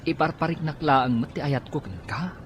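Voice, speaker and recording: An actor with an Ilocano accent playing a lovestruck, pleading young tricycle driver in a radio drama. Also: male voice, fairly high pitch, lively intonation, normal speed, background noise, close to an everyday voice.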